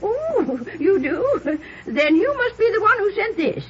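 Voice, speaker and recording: A chatty little spinster of about sixty, prim, giggly and easily muddled. Her high voice swoops wildly as she gossips. It is an old, muffled 1950s radio drama recording with some hiss. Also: big pitch swings, a little slow, heavily acted. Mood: sweetly muddled. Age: around 60. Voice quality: giggly, fluttery delivery.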